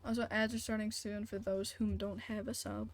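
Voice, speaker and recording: A woman's voice: medium pitch, little pitch movement, normal speed. A woman chatting casually on a live game stream, making short, informal, reactive remarks. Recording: music behind the voice.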